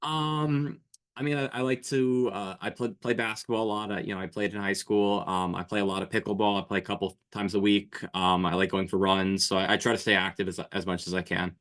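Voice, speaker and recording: A man's voice: on the low side, steady tone, a little fast, fairly bright tone. A man in his twenties, a podcast guest chatting casually, hesitant with lots of fillers and restarts. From New Jersey. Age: 20s. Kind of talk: casual chat.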